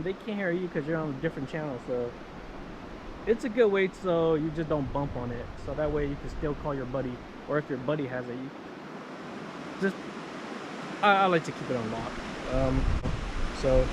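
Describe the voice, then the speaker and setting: Higher-voiced man over clear outdoor noise. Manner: halting, repeats himself, casual. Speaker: vlogger demonstrating handheld radios. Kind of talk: informal unscripted tutorial. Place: outdoors near water. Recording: noisy outdoor wind and water ambience.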